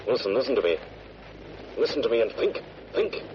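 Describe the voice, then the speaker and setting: An actor playing a self-assured, commanding crime-fighting hero in an old radio drama. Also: male voice, mid-range voice, sing-song, a little fast, lightly acted. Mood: urgent, insistent. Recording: noisy, muffled vintage radio recording.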